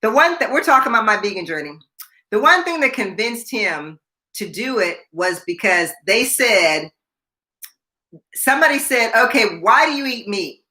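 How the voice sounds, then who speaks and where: A woman's voice: fairly high pitch, sing-song, moderate pace, pushed and bright. A woman in her sixties hosting a casual live stream, chatting, repeating herself and reading viewer comments aloud. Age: 60s. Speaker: livestream host.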